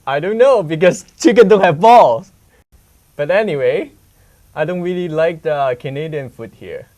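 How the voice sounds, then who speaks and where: A man's voice: fairly high pitch, expressive, normal speed, darkish tone. A young man from Hong Kong, an English speaker, telling candid stories as a student reporter in a chatty news segment. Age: young adult. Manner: simple non-native English, candid storytelling.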